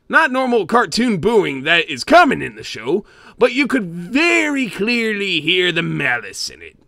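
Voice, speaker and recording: A man voicing a gruff, greedy old cartoon sea-crab boss, gravelly and theatrical, narrating a comic scary story in character. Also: high-pitched, pushed and bright, no echo, exaggerated voice. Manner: theatrical, with grumbling asides and barking chuckles.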